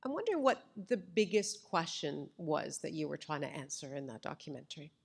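A woman's voice: sits low, sing-song, brisk, gravelly, husky. A middle-aged woman conducting a conversational interview on stage. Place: indoor stage with wood-slat backdrop. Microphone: microphone on boom stand.

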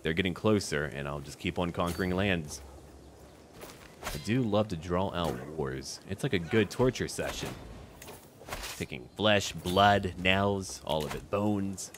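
A man's voice: low voice, measured pace, noisy background. A man giving in-character commentary on a strategy game he is playing, thinking aloud in short, clipped remarks as a ruler. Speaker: gaming video host.

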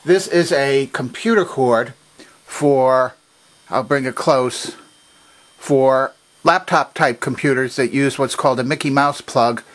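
A man with a medium-pitched voice presents a hands-on tutorial. He explains each part methodically and precisely, like a demonstrator teaching someone to operate equipment. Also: slow, a bit bright. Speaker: demonstrator of a vintage machine. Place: indoor workshop at a workbench.

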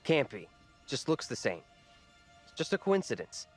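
A young man's mid-range voice with lively intonation and faint music behind. a protective, reassuring survivor in a naturalistic horror game cutscene. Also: mid-range voice, moderate pace. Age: young adult. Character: protective, reassuring young modern man in danger.

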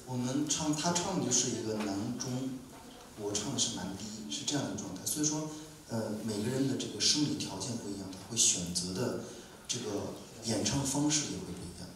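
A man from Inner Mongolia, a visiting musician, explaining to an audience in a measured way with many fillers, in a lecture room. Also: fairly low pitch, lively intonation, noisy background. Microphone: mic held, heard from a distance. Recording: echoey room, distant mic.

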